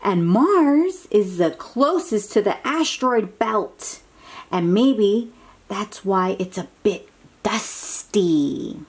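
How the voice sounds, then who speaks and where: A woman hosting a picture-book read-aloud for young children, exclaiming and asking coaxing questions in an animated manner. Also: mid-range voice, slow, breathy edge.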